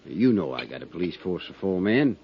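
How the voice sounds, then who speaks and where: Small-town constable, low-voiced, folksy and stubborn; old radio drama sound. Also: male voice, big pitch swings, a little fast, breathy edge, full character voice. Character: gruff small-town male police constable. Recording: dull old radio recording.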